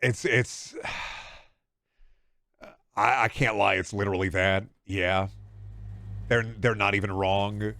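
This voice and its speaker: A man's voice: lowish voice, steady tone, slow pace, gravelly, long pauses, pushed and bright. A man from Iowa with an American accent chatting casually on a livestream, rambling and sardonic, repeating words for emphasis. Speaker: game streamer.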